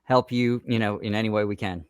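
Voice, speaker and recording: A man's voice: on the low side, fairly even intonation, brisk, smooth, no room sound, studio quality. Man giving conversational business advice. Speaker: podcast guest, business marketing entrepreneur.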